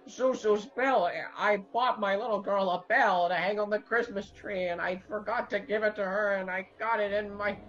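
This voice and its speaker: A man's voice: high voice, fairly even intonation, moderate pace, few pauses, a bit bright, soft background music. Bewildered family man, muffled recording. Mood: distraught. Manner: stammering, incredulous, colloquial. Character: agitated, bewildered family man, impatient and down on his luck. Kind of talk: radio drama acting.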